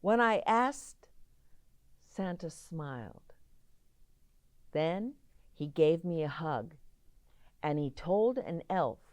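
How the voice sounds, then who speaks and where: A woman reading a children's story aloud expressively, with deliberate dramatic pauses and emphasis on single words. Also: low voice, expressive, a little mellow, no room sound.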